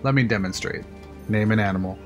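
A man's voice: on the low side, faint music behind, close to an everyday voice. A man voicing a blunt, confident male park engineer explaining the control room in an audio drama. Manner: brisk, matter-of-fact, a little cursing. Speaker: voice actor. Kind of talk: fan audio drama acting.

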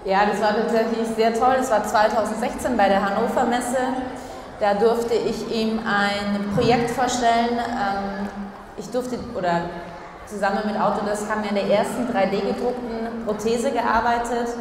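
Enthusiastic woman in a booming hall. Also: moderate pace. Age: 30s. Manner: lively, enthusiastic storytelling. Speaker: athlete guest. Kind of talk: stage interview. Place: large sports hall, indoors. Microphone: handheld mic. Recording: distant echoey hall with crowd noise.

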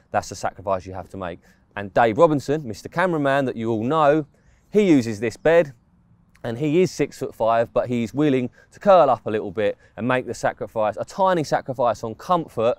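A man's voice: mid-range voice, darkish tone, dry recording. Man, thirties, casual product reviewer, outdoors in woodland, dry close sound. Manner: chatty, informal, explains specs step by step. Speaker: fishing gear reviewer.

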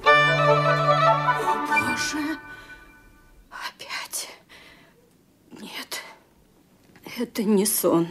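An actress playing a frail, elderly English lady with a bad heart, stern and fearful, who drops into a husky whisper. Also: high-pitched, huge pitch swings, very slow, pauses often, faint music behind, exaggerated voice. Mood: frightened. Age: older. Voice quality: husky, often sinks to a hushed whisper. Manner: brooding, imperious with her maid. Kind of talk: 1970s-era radio play acting.

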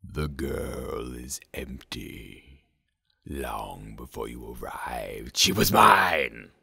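A man voicing a sinister, possessive demon in a theatrical film-villain style, slow, drawn-out and menacing. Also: rumbling low, animated, vocal fry, bright, forceful tone, slightly rough, pitched below the performer's own voice, heavily acted. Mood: possessive fury.

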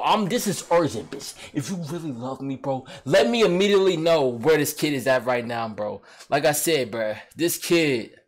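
A young American man giving a casual, slangy, exclamatory reaction commentary as a video host. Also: fairly high pitch. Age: young adult. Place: small indoor room. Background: loud music from the video being watched.

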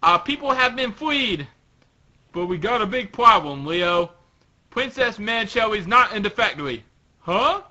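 A man voicing a small, earnest hero from a comic, animated and strained with worry. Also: high voice, unhurried, pitched above the performer's own voice, heavily acted. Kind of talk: amateur comic read-aloud. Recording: dull lo-fi voice recording.

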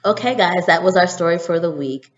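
A woman in her thirties, a teacher doing an expressive read-aloud for children, recorded indoors on a webcam. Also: on the low side, brisk. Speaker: teacher reading a picture book to children. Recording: dull, roomy home webcam recording.

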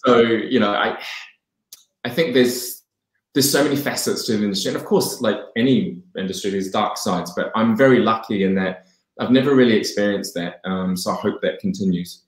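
A man in his forties with a New Zealand accent, a brand co-founder talking eagerly and laughing often in a video call interview from home. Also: lowish voice. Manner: enthusiastic, quick, laughs often. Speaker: fragrance brand co-founder, guest. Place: home room, indoors. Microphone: video call webcam mic. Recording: roomy echoey home room.

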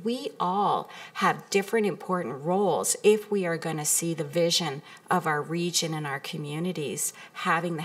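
Woman presenting, lowish voice, distant mic. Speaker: community health group presenter. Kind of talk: prepared presentation to a council meeting. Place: council meeting room, indoors. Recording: distant meeting room microphone.

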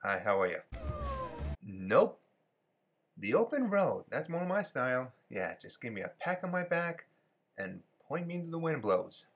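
A man's voice: medium pitch, very animated, unhurried. Guy doing a cocky american cartoon rooster, boastful wisecracker, really swingy delivery, noisy homemade recording. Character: cocky young American rooster, smooth-talking drifter. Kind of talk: amateur fan dub of an animated film.

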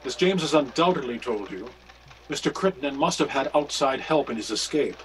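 A man's voice: mid-range voice, expressive, brisk, a bit bright, lightly acted, poor audio. A man in his sixties playing a grave, urgent reverend detective in a radio mystery. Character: grave, urgent reverend sleuth, worried husband. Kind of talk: radio mystery play acting.

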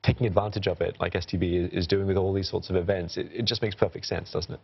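A man news anchor introducing and questioning guests briskly in a TV studio. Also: low-pitched, steady tone, gravelly, breathy edge, muffled recording. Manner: brisk, conversational.

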